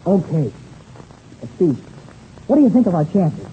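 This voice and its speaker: A man's voice: high voice, wildly swooping pitch, normal speed, a little hiss or hum. An actor playing an eager, earnest young boy pilot in a 1930s radio adventure serial, on a muffled old recording. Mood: eager, anxious. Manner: boyish, earnest, slangy exclamations.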